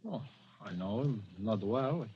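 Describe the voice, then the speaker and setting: An actor playing an uneasy, hard-up man in a 1940s radio drama, halting and subdued. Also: lowish voice, animated. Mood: uneasy, wary. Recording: muffled, noisy old radio recording.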